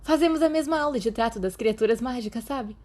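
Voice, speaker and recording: A woman with a Brazilian Portuguese accent voicing a lively, teasing teenage girl in a podfic reading. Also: on the high side, quick pace, pitched above the performer's own voice, close to an everyday voice. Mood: reassuring.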